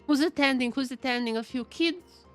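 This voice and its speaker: A woman giving casual, chatty live commentary on a video game, excitable and often repeating her phrases. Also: high-pitched, moderate pace. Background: game music.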